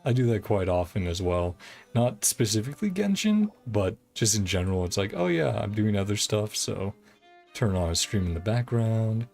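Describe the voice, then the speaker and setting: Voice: medium pitch, sing-song, moderate pace, creaky, darkish tone. A streamer giving casual, chatty commentary while playing a game, sounding easily amused. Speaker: livestream host playing a game. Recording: game music behind the voice. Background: video game music.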